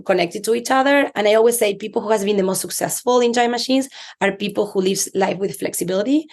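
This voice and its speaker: Woman, Argentine Spanish accent, native Spanish speaker, middle pitch, earnest reflective guest. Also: darkish tone. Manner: earnest, reflective, with fillers and restarts. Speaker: chief people officer, guest. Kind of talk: interview conversation.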